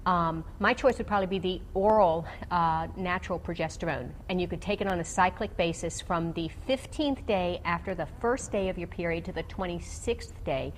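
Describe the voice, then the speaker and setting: A woman doctor giving explanatory, technical medical advice to callers on a radio call-in show, with hesitant pauses. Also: lowish voice. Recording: clearly noisy background.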